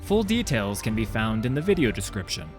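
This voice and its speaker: A man's voice: lowish voice, big pitch swings, a little fast, music in the background. A man narrating a fantasy story in a dramatic, scripted audiobook style.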